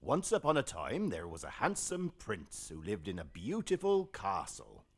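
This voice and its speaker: A man voicing a big, sleepy, patient hippo father in a children's television show, with a husky, gravelly voice. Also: fairly low pitch, huge pitch swings, moderate pace, dry recording, full character voice. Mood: gentle, obliging. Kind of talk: children's television character acting.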